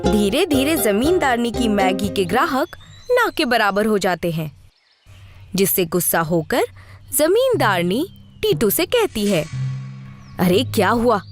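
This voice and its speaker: A woman's voice: mid-range voice, sing-song, a little slow, over music. A woman narrating a scripted story in a storybook style.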